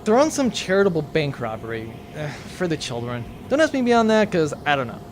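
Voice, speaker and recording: A man's voice: a little high, big pitch swings, fairly quick, slight background noise. A man playing a flippant, wisecracking captive crewman in a comic sci-fi audio drama, talking casually. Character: cheeky, flippant young male captive crewman.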